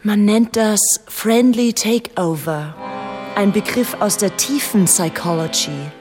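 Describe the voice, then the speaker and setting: An actress playing a curious, gently contrary language-course wife who speaks deliberately and switches between English and German in a radio play. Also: medium pitch, over music, lightly acted. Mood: gently correcting. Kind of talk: radio play dialogue in language-lesson manner.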